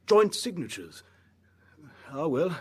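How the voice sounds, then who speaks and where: A fussy, gossipy gentleman of period melodrama, acted by a man. His husky, fairly high voice moves up and down a lot as he fawns and hesitates. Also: very animated, takes it slowly, exaggerated voice. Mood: flustered, chatty. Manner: fawning, hesitant, fluttery. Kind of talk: period audio drama acting.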